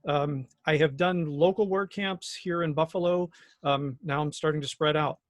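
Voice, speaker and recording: A man speaks on a video call in an easy, unhurried way, pausing often with little fillers. His voice sits a bit high for a man. The sound is close and dry. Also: dry recording. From Rochester area, New York. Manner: relaxed, hesitant with many ums. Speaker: meetup participant, physics professor. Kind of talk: casual self-introduction on a video call.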